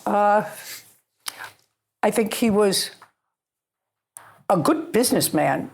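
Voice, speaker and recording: A woman with a husky voice giving measured answers in an interview. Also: medium pitch, animated.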